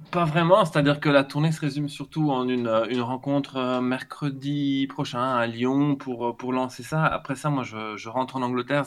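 A middle-aged man from Belgium answering interview questions over an internet call as an academic author, repeating words while he thinks. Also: medium pitch. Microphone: remote internet call.

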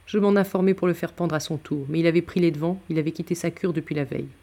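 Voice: mid-range voice, fairly even intonation, quick pace, mellow, natural voice. A man reading a novel aloud as a sombre, bitter, hard-drinking nobleman musketeer, sardonic and abrupt. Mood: careless, cynical.

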